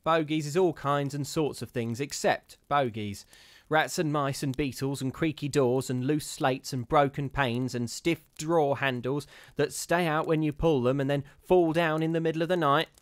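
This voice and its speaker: A man voicing a gruff, scornful old charwoman who scolds bluntly and fears nothing. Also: on the high side, steady tone, moderate pace, hardly any pauses, no room sound, pitched above the performer's own voice, lightly acted. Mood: scolding.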